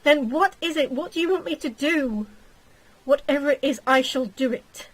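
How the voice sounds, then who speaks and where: A woman reader voicing a gentle, patient young man who soothes his fiancée in a storybook reading. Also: a little high, unhurried, poor audio. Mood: pleading. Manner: soft, tender, reassuring.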